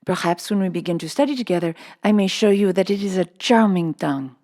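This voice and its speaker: A woman's voice: on the low side, lively intonation, fairly quick. Woman as a kindly, courteous middle-aged French schoolmaster. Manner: gentle, courteous. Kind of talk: children's storybook reading.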